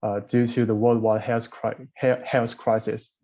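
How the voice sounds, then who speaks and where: A man's voice: mid-range voice, flat delivery, a little slow, mellow. A young man giving a formal student presentation over a video call. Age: young adult. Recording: dull, band-limited video call audio.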